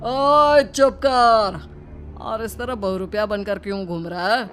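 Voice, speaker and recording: A man's voice: high-pitched, steady tone, takes it slowly, a bit bright. Man acting a male street robber, blustering, bossy, mocking, cartoon story, background music. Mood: threatening. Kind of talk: Hindi cartoon story dubbing.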